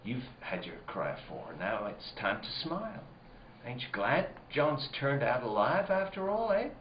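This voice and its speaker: An actor playing a blunt, needling rural man in a spooky radio drama, folksy and halting in his talk. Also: mid-range voice, big pitch swings. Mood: coaxing, uneasy. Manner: folksy dialect, halting, insinuating. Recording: roomy, muffled, noisy lo-fi recording.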